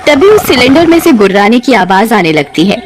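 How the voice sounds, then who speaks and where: Lively woman narrating a story. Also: a little high, brisk, heavy background noise. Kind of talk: dramatic scripted cartoon story narration.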